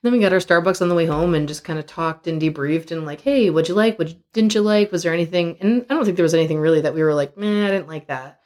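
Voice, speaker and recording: A young adult woman co-hosting a podcast, chatting frankly and laughing often, her voice hoarse from a tiring weekend. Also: on the low side, fast, few pauses, darkish tone. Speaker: podcast co-host. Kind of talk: casual chat.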